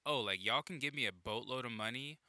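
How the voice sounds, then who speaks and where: A man hosting a casual, talkative conversation outdoors in a backyard, speaking in an animated way with many fillers. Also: mid-range voice, fairly quick, no echo.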